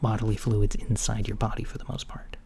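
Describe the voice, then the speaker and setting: A soft-spoken man playing a mild, curious alien doctor in an ASMR roleplay, hesitant and politely clinical, often dropping toward a whisper. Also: lowish voice, steady tone, a little fast, vocal fry. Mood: gentle, matter-of-fact. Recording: lo-fi with faint background noise.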